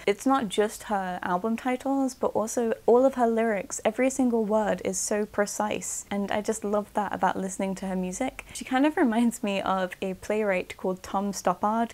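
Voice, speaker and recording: A young woman, talking casually and warmly to camera about her records from her bedroom. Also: medium pitch. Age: young adult. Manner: enthusiastic, thoughtful, slightly chatty. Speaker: vlogger reviewing records. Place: bedroom, indoors.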